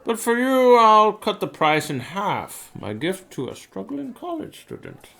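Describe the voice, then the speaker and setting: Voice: mid-range voice, huge pitch swings, a little slow, creaky, fairly bright tone, heavily acted. An actor playing a genteel, sly antiquarian shopkeeper in an audio drama, with courtly, theatrical salesman's politeness. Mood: wheedling. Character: genteel, sly antiquarian shop owner selling a rare book.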